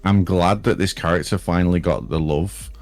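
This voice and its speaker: Male gaming creator as interview guest, deep voice, relaxed slangy chat, faint music behind. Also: normal speed. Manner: laid-back, slangy. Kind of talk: casual chat.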